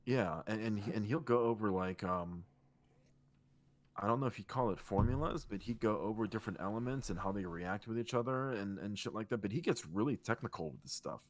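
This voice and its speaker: Low-voiced man, rambling easy chat. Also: a little fast. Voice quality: soothing voice. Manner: rambling, relaxed, swears casually. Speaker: podcast co-host and streamer. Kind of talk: casual chat.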